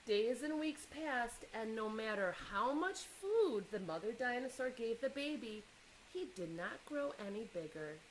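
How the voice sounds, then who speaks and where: A woman reading a children's picture book aloud in an expressive way, pausing to engage young listeners with questions and asides. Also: on the high side, slight background noise, low-quality recording.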